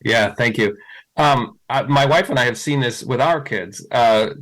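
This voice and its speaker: A man's voice: medium pitch, a little slow, a bit bright, low-quality recording. A middle-aged man answering questions as a school board candidate, thoughtful, with many fillers and restarts.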